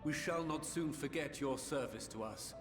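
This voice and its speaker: A man's voice: a little high, normal speed, over music, close to an everyday voice. An actor playing a formal male chairman who opens an official session in a video game. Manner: formal, ceremonial. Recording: roomy with long reverb.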